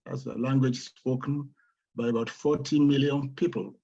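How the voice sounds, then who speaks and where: An older Nigerian man, a Yoruba speaker, introducing his poetry reading in a slow, measured, explanatory way over a video call. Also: mid-range voice, fairly even intonation, dark tone. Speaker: guest poet. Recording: dull lo-fi video call audio.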